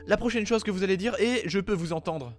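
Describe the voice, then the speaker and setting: A man's voice: high-pitched, fast, pitched above the performer's own voice, lightly acted. A man voicing an insistent, clever young woman who questions impatiently, in an amateur fandub. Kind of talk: amateur French fandub acting. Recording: home dub over background music.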